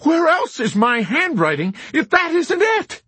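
A man voicing a bewildered, timid army chaplain who stammers and pleads, rising to indignant shouts. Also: very high-pitched, huge pitch swings, unhurried, no echo, pitched above the performer's own voice, exaggerated voice.

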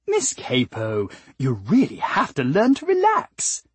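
A woman voicing a female alien rose bush in an audiobook, with a breathy, sweet voice and a polite, wheedling, nervous manner. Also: sits low, wildly swooping pitch, measured pace, dry recording, pitched above the performer's own voice, exaggerated voice. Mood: sweetly wheedling.

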